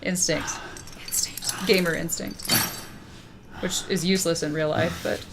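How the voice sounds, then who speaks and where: A woman's voice: fairly low pitch, fairly even intonation, measured pace, very noisy. A woman hosting a casual let's play, joking and playfully sarcastic as she comments on the game. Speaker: gaming channel host. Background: loud game music and audio.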